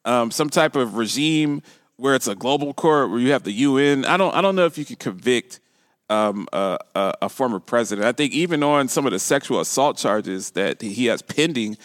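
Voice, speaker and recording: A middle-aged man hosting a casual conversational interview, speaking haltingly and repeating words as he thinks. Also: mid-range voice, animated, no room sound, studio quality. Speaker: podcast host.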